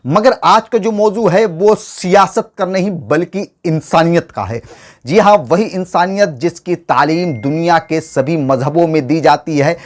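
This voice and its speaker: Guy doing scripted news commentary, really animated up and down voice, earnest n speechy. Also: a little high. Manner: earnest, rhetorical, formal oratorical phrasing. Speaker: host of a political commentary show.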